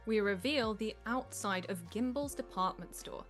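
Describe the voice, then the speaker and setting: A woman's voice: mid-range voice, moderate pace, soft background music. A woman reading stage directions as the narrator of a live comedy table read.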